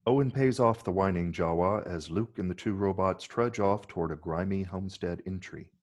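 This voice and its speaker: A man's voice: deep voice, moderate pace, darkish tone. A man narrating scripted screenplay stage directions for a table read.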